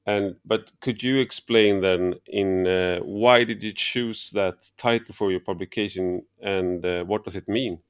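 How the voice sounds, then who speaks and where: A man's voice: lowish voice, fairly even intonation, unhurried, few pauses, clean tone. A man with a Swedish accent, a native Swedish speaker, hosting a podcast interview and asking questions hesitantly while searching for words. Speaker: podcast host.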